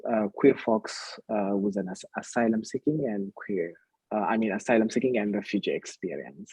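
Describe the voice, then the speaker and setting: A male speaker from Ethiopia, a native Amharic speaker with an Ethiopian accent, introducing themselves haltingly as a panelist. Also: on the low side, a little mellow, husky, no echo, low-quality recording. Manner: halting, searching for words. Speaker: activist panelist.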